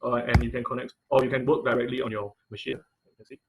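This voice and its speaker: A man teaching an online class in an informal, halting tutorial style, often checking in with listeners. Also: mid-range voice, little pitch movement, rough recording. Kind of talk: informal tutorial with live demonstration. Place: online video call class.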